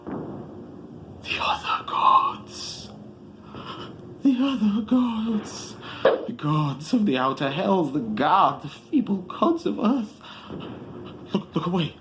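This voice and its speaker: A man voicing a proud, wild mystic in a grandiose, shrill horror-story declamation, shrieking out incantations. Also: sing-song, slow, long pauses, background noise, heavily acted. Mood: terrified shrieking. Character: proud shrieking mystic climber, exultant turning to terrified. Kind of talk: theatrical horror storybook reading. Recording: music behind the voice.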